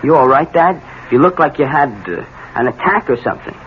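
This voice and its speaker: Male actor as young American man, guilty and scared, higher-pitched, radio play, thin phone-like vintage sound. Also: close to an everyday voice. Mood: anxious. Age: young adult. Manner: anxious, halting, confessional. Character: frightened, guilt-ridden young man, a student son. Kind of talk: radio drama acting. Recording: tinny, noisy vintage radio recording.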